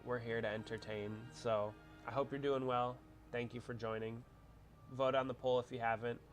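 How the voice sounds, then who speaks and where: A man's voice: medium pitch, flat delivery, normal speed, faint music behind. A man hosting a casual livestream, congested from a cold, rambling as he chats with viewers. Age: adult with a wife. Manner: chatty, rambling, reads out viewer comments. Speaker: livestream host.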